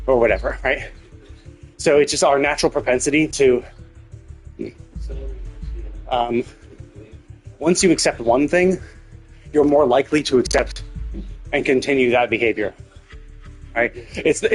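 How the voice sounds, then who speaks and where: A man commentates casually on a live game stream. He rambles with strong opinions in a medium-pitched voice, often checking in with a tag question, over faint music. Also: rough recording.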